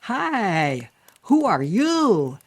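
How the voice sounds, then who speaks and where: A woman's voice: medium pitch, big pitch swings, pitched above the performer's own voice, full character voice. A woman voicing a proud, naive male frog in a joke, with stretched wide-mouthed words and exaggerated greetings. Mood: eager, boastful. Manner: loud, exaggerated, drawn-out greetings. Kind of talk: spoken joke storytelling.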